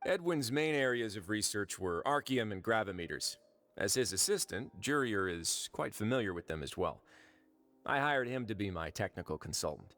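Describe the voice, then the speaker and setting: An actor playing a composed, wry young duke in a video game, speaking in a deliberate, measured storytelling manner. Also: male voice, fairly low pitch, animated, a bit bright. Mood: wry, assured. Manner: deliberate, dryly wry, unhurried. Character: composed, wry young duke who runs an underwater prison fortress.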